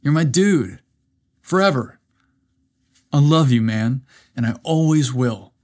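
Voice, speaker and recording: A man's voice: medium pitch, expressive, takes it slowly, darkish tone. A man voicing an affectionate, mischievous young groom with casual dude talk in a narrated story. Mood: sincere.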